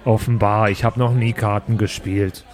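A man's voice: on the low side, fairly even intonation, normal speed, a little mellow, soft background music, natural voice. A man playing a small, naive, chatty dwarf in an improvised tabletop game, polite and hesitant. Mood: innocent, curious. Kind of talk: improvised tabletop role-play.

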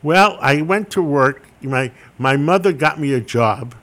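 A man's voice: fairly high pitch, lively intonation, unhurried, a bit bright. Older New man telling wry stories. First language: Greek. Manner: anecdotal, wry, self-deprecating. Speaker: guest. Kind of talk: interview storytelling.

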